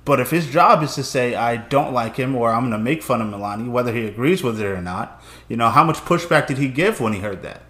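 A man co-hosting a podcast, talking in a measured, hedging way with many fillers. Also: medium pitch, lively intonation, fairly bright tone. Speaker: podcast co-host. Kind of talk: casual chat. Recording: echoey room.

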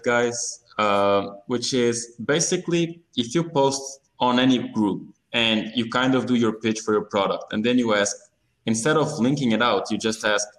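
A man's voice: mid-range voice, fairly bright tone, poor audio. A man from Portugal talks informally as an interview guest, often repeating words in stop-and-start phrases. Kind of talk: casual interview talk.

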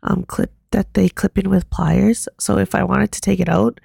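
A young woman co-hosting a casual podcast chat, hoarse as she loses her voice, laid-back and swearing casually. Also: medium pitch, steady tone, normal speed, dark tone, no room sound, studio quality. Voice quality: raspy, gravelly. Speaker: podcast co-host.

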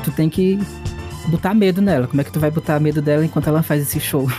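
A man narrating as game master of a tabletop horror-comedy game, slow and halting with restarts. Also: a little high, warm tone, faint music behind.

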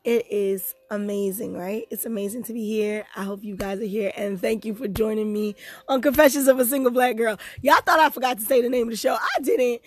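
A young woman hosting a personal podcast in casual chat, bubbly and quick to laugh, her voice hoarse from a sore throat. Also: fairly high pitch, a little mellow, no room sound. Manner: bubbly, chatty, laughs easily.

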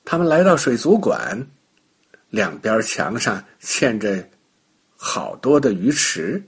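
A man tells a bedtime story to young children. His voice is husky and breathy, and he moves through it slowly with very lively, swooping intonation. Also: fairly low pitch. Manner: animated, unhurried, child-directed.

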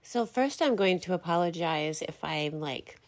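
A woman's voice: lowish voice, lively intonation, measured pace. A middle-aged woman hosting her own podcast in a casual, rambling, self-deprecating monologue, a little congested from a recent illness. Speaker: podcast host.

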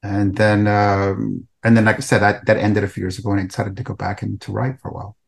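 A middle-aged man from El Salvador speaks as an interview guest, his voice sitting a bit low. He answers thoughtfully and in a conversational tone, and his phrases often fade away softly at the end. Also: flat delivery, fast, creaky. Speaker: author and researcher guest.

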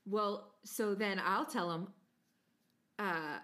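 A woman's voice: medium pitch, slow pace, fairly bright tone. An American woman co-hosting a podcast, chatting casually, laughing often and slipping into playful mock accents. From United States. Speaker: podcast co-host.

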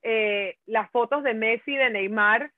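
Brief: expressive woman from Brazil, co-host, dry tinny phone-like sound. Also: a little high, unhurried, dry recording. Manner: indignant, expressive. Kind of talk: casual sports chat.

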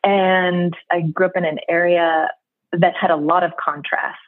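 A woman's voice: fairly low pitch, little pitch movement, unhurried, no echo. A woman in her thirties from Brooklyn with an American accent, a guest in a conversational interview, talking thoughtfully and laughing easily. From New York City, Brooklyn. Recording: tinny phone-like call line.

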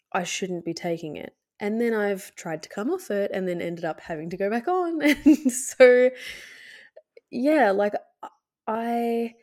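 A woman's voice: lowish voice, a little mellow. A woman hosting a podcast in a casual, candid, hesitant way, her voice nasal and slightly scratchy from a cold. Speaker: podcast host. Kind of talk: casual solo podcast talk.

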